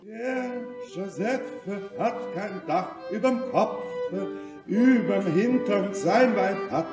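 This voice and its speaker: A boisterous Parisian communard in a radio drama, with a high voice and a measured, declamatory delivery. He holds forth theatrically and breaks into song. The sound is roomy and set back from the microphone. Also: expressive, heavily acted. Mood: boisterous singing. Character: boisterous Parisian man of the Commune era, philosophizing and singing. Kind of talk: German radio play acting with singing. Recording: roomy, distant radio-play sound with loud music.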